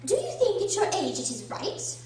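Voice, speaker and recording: A woman's voice: extremely high pitch, wildly swooping pitch, moderate pace, darkish tone, full character voice. A woman playing a polite, bewildered little girl in a radio drama, earnest, hesitant and easily flustered. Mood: polite, timid. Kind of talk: amateur radio drama acting. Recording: very echoey room, distant mic, faint noise.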